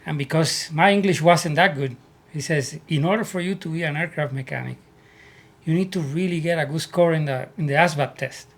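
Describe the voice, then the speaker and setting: A man from Puerto Rico with a Puerto Rican Spanish accent, telling his life story as an interview guest in earnest, halting English. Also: a little high. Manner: earnest, repeats words as he searches for English. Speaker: guest, army veteran.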